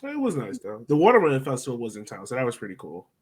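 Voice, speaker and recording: A man's voice: medium pitch, huge pitch swings, racing, husky. A man hosting a casual sports podcast, chatting in a blunt, opinionated way. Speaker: podcast host.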